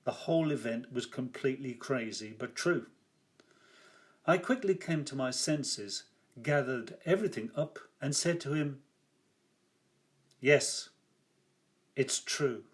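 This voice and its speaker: An older man reading his own first-person story aloud like an author at a reading, theatrical and wry, with deliberate emphasis. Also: mid-range voice, expressive.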